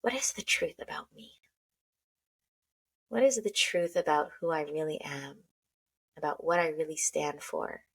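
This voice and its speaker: A woman leading a guided breathing meditation, talking slowly and softly in the first person as she walks through each step. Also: deep voice, expressive, creaky, breathy edge.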